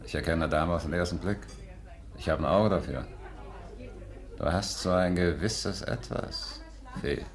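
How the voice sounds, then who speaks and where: An actor playing a boastful, pushy small-time crook in a 1950s German radio play, speaking with cocky insistence. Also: male voice, sits low, big pitch swings, measured pace, long pauses, a faint crowd, full character voice. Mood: smooth, flattering.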